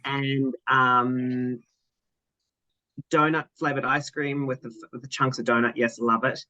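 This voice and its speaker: Bright-voiced chatty man on a call. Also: medium pitch, little pitch movement, measured pace, dry recording, rough recording. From South Africa. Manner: chatty, laughing, rambling anecdotes. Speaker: netball coach. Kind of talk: casual self-introduction on a video call.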